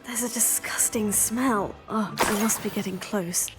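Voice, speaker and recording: A woman's voice: medium pitch, a little slow, loud music behind the voice, lightly acted, low-quality recording. A woman playing a polite, curious teenage witch student in a video game. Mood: curious, musing. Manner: polite, inquisitive. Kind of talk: video game character acting.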